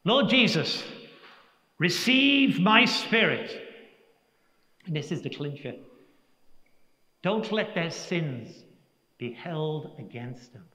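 An older man, a priest giving a Sunday homily in a large church, preaching slowly and reflectively with emphatic pauses. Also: fairly high pitch, expressive, pushed and bright. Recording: echoey church with long reverb.

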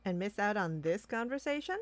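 A woman's voice: medium pitch, expressive, a little fast, a little hiss or hum, lightly acted. A woman playing a playful, nosy office underling in an audio roleplay, with a teasing manner. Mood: teasing, casual. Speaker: voice actor. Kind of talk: amateur audio roleplay acting.

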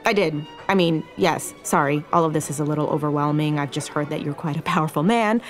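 A woman's voice: sits low, brisk, faint music behind, lightly acted. A woman playing a nervous, flustered romcom heroine who rambles and halts in an audio drama. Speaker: actress in a podcast drama. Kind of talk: romantic comedy audio drama acting.

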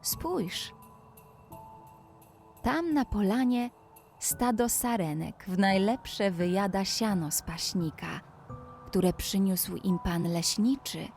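Female bedtime-story narrator, soothing and gentle, extremely slow delivery for guided relaxation, over prominent background music. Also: medium pitch. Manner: soothing, gentle, addressing a child listener.

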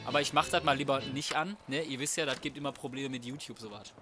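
A man's voice: medium pitch, steady tone, quick pace, sharp tone. A man giving casual, slangy, cocky let's play commentary, often muttering to himself. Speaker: let's player. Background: video game music and sounds.

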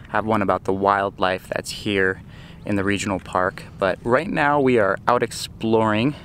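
A man's voice: fairly low pitch, slight background noise. A man in his forties hosting a casual travel vlog, talking to a camera held at arm's length outdoors. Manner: relaxed, chatty, explains details to camera. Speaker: vlog host. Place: outdoors on a desert trail.

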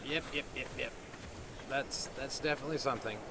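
A man improvising in-character video game roleplay, haltingly talking to himself and often repeating his words. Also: medium pitch. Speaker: roleplay gaming video creator. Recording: loud noise and game ambience behind.

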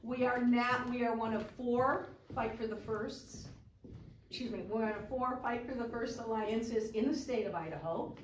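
Lively woman in a roomy hall. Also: a little high, moderate pace, a little hiss or hum. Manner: lively, animated storytelling reading. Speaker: retired librarian speaker. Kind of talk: public talk reading aloud. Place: large community hall, indoors. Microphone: far camera. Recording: roomy hall recorded from far off.

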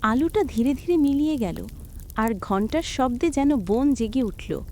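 A woman narrating a scripted cartoon story in a dramatic, measured storytelling manner. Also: sits high, lively intonation, a little mellow, a little hiss or hum.

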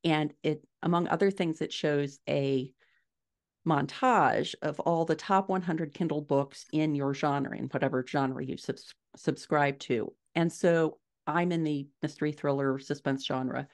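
A middle-aged woman answering interview questions conversationally, telling stories in an animated way on a video call from a room at home. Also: deep voice, measured pace. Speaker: podcast guest, indie author. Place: small furnished room at home, indoors.

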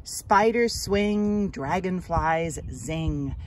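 A woman hosting an outdoor read-aloud for children, speaking to them with deliberate, expressive enunciation. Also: lowish voice. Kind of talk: children's story read-aloud and friendly outdoor talk to kids. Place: outdoors on a grassy trail. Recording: outdoor ambient noise.

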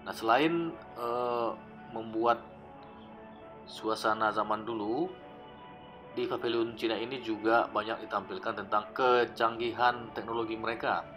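A man narrating his old travel footage in a relaxed, explanatory voice-over, often pausing with fillers. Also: on the low side. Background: background music.

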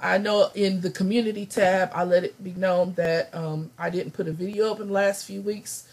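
A woman with a hoarse, raspy voice, still getting over laryngitis, teaching a scripture study lesson over slides and thinking aloud with many ums. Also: mid-range voice, fairly even intonation, moderate pace, a little mellow.

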